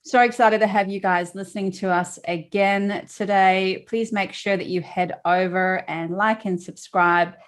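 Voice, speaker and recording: A woman's voice: medium pitch, fairly even intonation, a little slow, hardly any pauses. A woman from South Africa with a South African accent, hosting a podcast in casual, chatty conversation with many asides. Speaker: podcast host.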